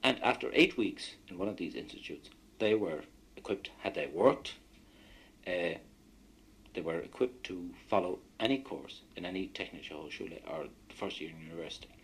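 A man's voice: deep voice, lively intonation, normal speed, a bit bright, slightly rough, rough recording. An Irish man whose first language is Irish, telling rambling stories from his own experience in a radio discussion. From Ireland. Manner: anecdotal, rambling recollection. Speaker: guest.

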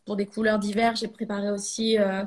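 A woman's voice: medium pitch, little pitch movement, a little fast. A French woman in her thirties, a ceramic artist, talking casually in a podcast interview, hesitant with many fillers and restarts. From France.

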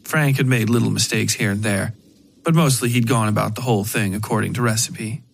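A man narrating a scripted dark fiction story for a podcast. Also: on the low side, brisk, gravelly, slight background noise.

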